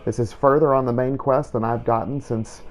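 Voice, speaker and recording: A man's voice: medium pitch, steady tone, normal speed. A man narrating a video game roleplay playthrough in a scripted, storytelling style. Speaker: host of a game playthrough series.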